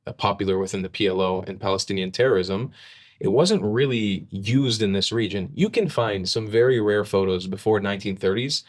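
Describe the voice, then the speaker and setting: Man, low pitch, podcast guest, emphatic, repeats himself at sentence starts. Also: a little fast. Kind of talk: animated explanatory conversation.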